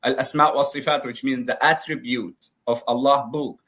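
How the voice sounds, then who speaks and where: A man whose first language is Arabic, speaking broken English on a video call, explaining word meanings slowly through examples in a live debate. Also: medium pitch, steady tone, a bit bright. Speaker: debate guest.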